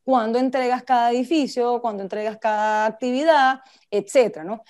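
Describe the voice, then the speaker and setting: A woman's voice: on the high side, fairly even intonation, moderate pace, darkish tone. A woman with a Venezuelan accent giving an informal lecture, talking conversationally and putting questions to her listeners. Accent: Venezuelan Spanish. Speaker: project management instructor and speaker.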